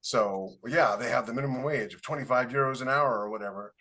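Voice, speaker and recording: A man's voice: medium pitch, fairly quick, sharp tone. A man with an American accent talking as a podcast co-host, explaining his points informally in a casual panel discussion.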